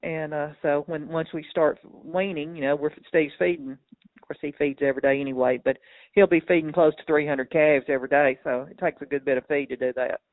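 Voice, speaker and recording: A man's voice: fairly high pitch, steady tone, fairly quick, dry recording. A man who farms cattle, chatting casually and ramblingly on a phone call in a plain-spoken, folksy way, over a tinny line. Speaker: local cattle farmer.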